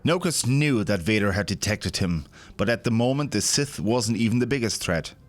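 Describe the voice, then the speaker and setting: Male narrator, fairly low register, dramatic scripted storytelling for audio drama, faint music bed. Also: animated, normal speed, dry recording. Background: background music.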